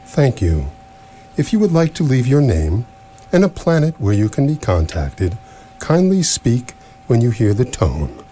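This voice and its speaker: A man playing an ancient recorded announcement in a comic radio play, formal, officious and smoothly courteous with menace underneath. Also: medium pitch, huge pitch swings, unhurried, warm tone. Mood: polite menace. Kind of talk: comic science fiction radio theatre. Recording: noisy live stage recording.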